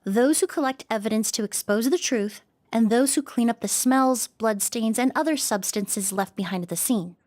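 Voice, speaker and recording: A woman's voice: a little high, normal speed, few pauses, darkish tone, close to an everyday voice. A woman voicing a composed young perfumer and forensic expert in a video game, precise and explanatory. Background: game music.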